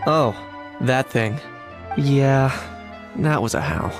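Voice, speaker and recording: An actor playing a calm, dry-witted young adventurer in a video game, speaking in a measured, deadpan, articulate way. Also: male voice, medium pitch. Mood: composed, deadpan. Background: background music.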